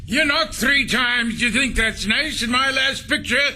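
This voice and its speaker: Pompous street poet, super high piercing voice, slow theatrical verse, old radio comedy. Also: male voice, sharp tone, faint music behind, full character voice. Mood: pompous, playful.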